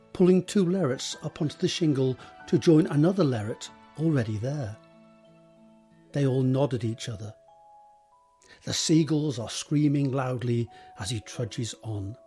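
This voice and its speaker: A man reading scripted scene directions as a narrator, measured and descriptive, with deliberate pauses. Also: fairly high pitch, warm tone, husky.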